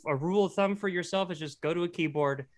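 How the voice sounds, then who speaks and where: A man with a high speaking voice, casually coaching others on singing in a group chat. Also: quick pace. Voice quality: self-described high voice. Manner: animated, explains with sung examples. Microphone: close mic.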